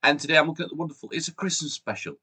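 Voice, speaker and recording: A man's voice: rapid-fire, husky. A man in his forties with a Yorkshire accent, hosting a casual countdown vlog in an upbeat, chatty way while sounding chesty from a cold. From Yorkshire, England. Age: 40s. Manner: chatty and upbeat, lively jumps in pitch and loudness. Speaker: YouTube host. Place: room at home with shelves behind the camera.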